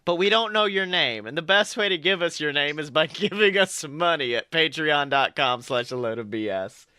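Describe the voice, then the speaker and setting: A man's voice: on the high side, expressive, moderate pace, bright, forceful tone, clean tone, no echo, studio quality. A man hosting a podcast, chatting casually with a jokey, theatrical and sometimes profane manner. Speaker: podcast host. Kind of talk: casual comedic podcast chat.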